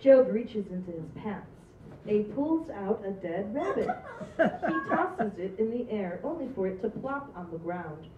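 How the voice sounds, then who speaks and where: A woman's voice: mid-range voice, expressive, a little slow, mellow, a little hiss or hum. Woman reading stage directions aloud. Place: small stage with green backdrop. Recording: distant, roomy, dull audio.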